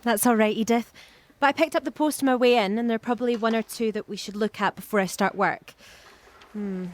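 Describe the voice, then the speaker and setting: A woman playing a calm, efficient secretary with a practical, soothing manner in an audio drama. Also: fairly high pitch, brisk, close to an everyday voice. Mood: businesslike. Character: calm, efficient, discreet female secretary, loyal and reassuring.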